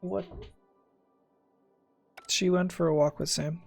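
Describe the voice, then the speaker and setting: A woman's voice: fairly low pitch, fairly even intonation, takes it slowly, creaky, darkish tone, soft background music. A woman giving casual commentary while playing a video game, sometimes reading game text aloud.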